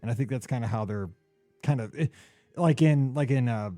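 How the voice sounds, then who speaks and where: A man's voice: mid-range voice, fairly quick, warm tone, dry recording, soft background music. A man hosting a podcast, chatting casually in a loose way with lots of fillers and hedges. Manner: loose, filler-heavy, hedging with "kinda". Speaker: podcast host. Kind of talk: casual chat.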